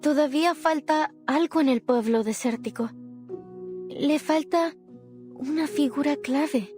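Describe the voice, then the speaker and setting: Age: young adult. Figure: an earnest young female storyteller from a game, searching and pleading for guidance, as a woman voices her. Pitch: high. Backing: faint music. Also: moderate pace, darkish tone, close to an everyday voice. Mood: troubled. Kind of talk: game voice acting.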